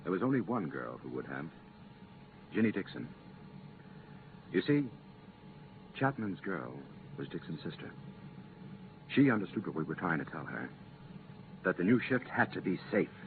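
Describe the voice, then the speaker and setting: Firm space commission official, deep voice, widely swinging intonation, regretful, deliberate, old radio drama. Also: male voice, sing-song. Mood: grave explaining. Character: earnest commission official, regretful but firm older man. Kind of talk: 1950s radio drama acting. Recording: muffled, noisy 1950s radio transcription.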